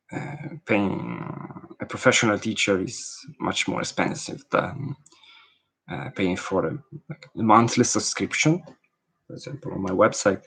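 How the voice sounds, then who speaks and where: A man from Italy with an Italian accent, a language teacher answering viewer questions casually, thinking aloud with frequent pauses. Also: on the low side, slightly rough, rough recording.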